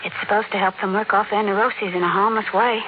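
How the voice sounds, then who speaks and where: Lady acting worried housewife in old radio show, earnest, medium pitch, tinny noisy recording. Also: steady tone, quick pace, lightly acted. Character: anxious, discontented middle-class wife and mother.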